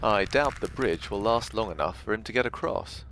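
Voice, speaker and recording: Man playing an unflappable, formal butler, lively pitch. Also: moderate pace, creaky, slight background noise. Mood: dry, polite. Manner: formal, deadpan, drily understated. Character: unflappable, deferential male butler to a genteel hostess. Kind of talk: comic audio sketch acting.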